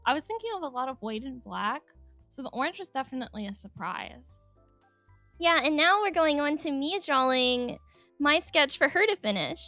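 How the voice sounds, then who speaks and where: High-pitched giggly young woman. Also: animated, normal speed, no room sound. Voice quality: speaks higher than her normal voice on mic. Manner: chatty, giggly, rambling with many "like"s. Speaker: artist narrating a speedpaint. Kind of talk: casual voice-over commentary. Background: soft background music.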